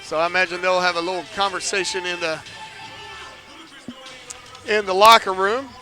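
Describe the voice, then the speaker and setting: Bright high-voiced male sports commentator. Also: fairly even intonation, moderate pace, faint music behind. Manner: chatty, joking asides about himself; lifts to near shouts on big plays. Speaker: play-by-play commentator. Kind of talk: live basketball play-by-play. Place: indoor basketball arena.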